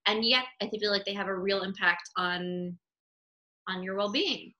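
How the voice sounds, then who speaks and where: A woman's voice: mid-range voice, measured pace, dull audio. A woman panel guest chatting animatedly and acting out what other people say. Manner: animated, quotes others in voices. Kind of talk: casual panel chat.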